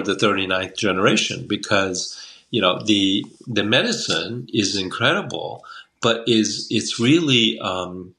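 Bright-voiced older man, rambling. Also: lowish voice, gravelly. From Taiwan. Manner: rambling, with many restarts and fillers. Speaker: guest, Chinese medicine doctor. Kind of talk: casual interview talk. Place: home room by a window. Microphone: video call webcam.

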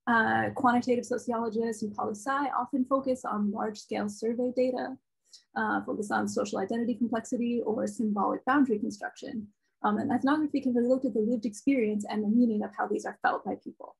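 A woman researcher presents her fieldwork in a soft, quiet voice over a laptop mic. Her tone is slightly high, breathy and edged with vocal fry. She speaks casually, filling gaps with ums. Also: steady tone, fast, low-quality recording. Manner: casual, with many ums and uhs. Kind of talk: academic conference talk. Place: home room. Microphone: laptop webcam on video call.

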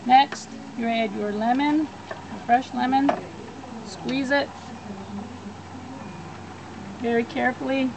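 Higher-voiced woman giving cooking steps outdoors. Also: steady tone, unhurried, gravelly. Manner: plain step-by-step instructions. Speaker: cooking instructor. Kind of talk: cooking demonstration. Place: backyard outdoors. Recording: outdoor background noise.

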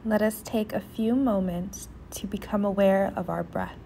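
Woman, guided meditation, soothing near-whisper, mellow and dark tone, noticeable ambient noise. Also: medium pitch, fairly even intonation, normal speed, gravelly.

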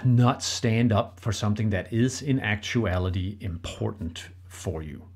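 A low, husky male voice with a Danish accent. He is a middle-aged relationship coach speaking to listeners in an earnest, reflective way and giving plain advice. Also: a little mellow. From Denmark. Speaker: podcast co-host, relationship coach. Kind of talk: solo advice talk.

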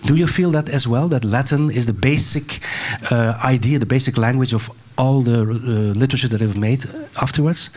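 A man's voice: mid-range voice, moderate pace, hardly any pauses, darkish tone. An older man introducing and interviewing an author on stage, earnest, with restarts and fillers, into a handheld mic. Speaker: moderator. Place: stage of a large hall.

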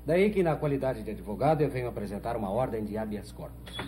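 Casting: actor as slippery Brazilian lawyer, pompous and formal, mellow, theatrical pitch swings; vintage TV drama. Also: male voice, animated, quick pace, close to an everyday voice. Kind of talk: 1970s telenovela acting. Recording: noisy old 1970s TV soundtrack.

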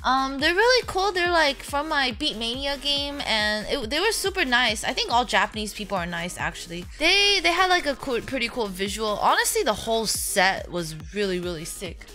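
A young woman streamer telling stories casually, chatty and a little crude, jumping between excited squeals and flat deadpan jokes. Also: high-pitched, moderate pace, hardly any pauses, faint music behind. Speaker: VTuber streamer. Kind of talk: casual storytelling vlog.

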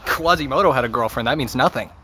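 A man's voice: medium pitch, quick pace, close to an everyday voice. A man playing a dry, defensive American teenage boy. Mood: bantering. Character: defensive, sardonic seventeen-year-old boy. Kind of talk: naturalistic audio drama acting. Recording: clear background ambient noise.

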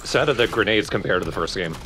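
A man's voice: low-pitched, animated, rapid-fire, pushed and bright, soft background music. A man making casual, joking remarks as a commentator over a video game. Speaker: gaming let's play commentator.

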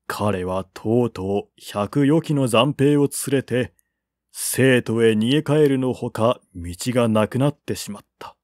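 Male audiobook narrator for historical fiction: measured, unhurried, mid-pitched, recorded dry. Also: a little mellow, dry recording. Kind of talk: dramatic reading of a historical novel.

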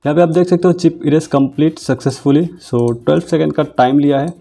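A man narrates a computer tutorial over his screen, going step by step through the process. His voice sits a little high but sounds dark and mellow. Faint background music plays beneath the voice-over. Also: fast, creaky. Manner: steady step-by-step explaining, mixing English terms. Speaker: tech tutorial host.

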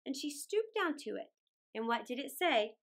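A middle-aged woman reading aloud to children, expressive and deliberate, in a small room. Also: high-pitched. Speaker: storyteller. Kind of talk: children's read-aloud narration. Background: music.

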